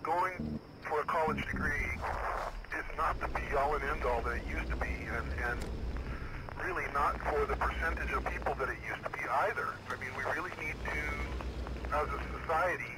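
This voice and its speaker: A man's voice: a little high, normal speed. An American man giving earnest opinions in casual chat over a two-way radio. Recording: very noisy radio chatter, vehicle drone.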